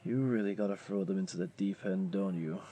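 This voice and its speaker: A man's voice: low voice, warm tone, close to an everyday voice. A man voicing a flirty, laid-back jaguar beastling, a tavern regular who teases and coaxes the listener in a roleplay audio drama. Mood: amused, coaxing. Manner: playful, coaxing, unhurried teasing.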